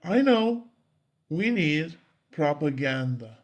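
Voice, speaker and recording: A man voicing a silly, scheming old geezer with a creaky voice in an audio drama. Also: a little high, very animated, slow pace, voice pushed up. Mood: conspiratorial. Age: older.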